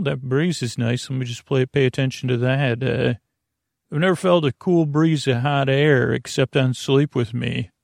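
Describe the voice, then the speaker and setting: A man hosts a bedtime podcast in a gravelly, creaking voice of medium pitch. He drifts through soothing, halting digressions, often tripping over his words. The sound is dry, with no room around it. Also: dry recording. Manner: soothing, lulling. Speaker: podcast host. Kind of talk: rambling bedtime monologue to help listeners sleep.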